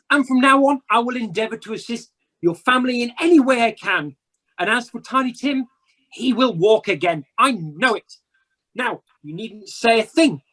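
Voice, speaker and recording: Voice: mid-range voice, a bit bright. Someone acting a theatrical, repentant old miser. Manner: theatrical, deliberate, emphatic. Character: reformed old miser uncle, gleefully repentant, teasing. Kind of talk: amateur radio play acting. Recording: home video call audio.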